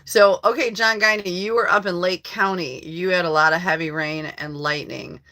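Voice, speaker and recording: A hoarse woman hosting a casual live stream from her country home, chatting to viewers in a rambling way and laughing often. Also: lowish voice, fairly even intonation, moderate pace, bright, forceful tone. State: hoarse from allergies. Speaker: livestream host. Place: rural home.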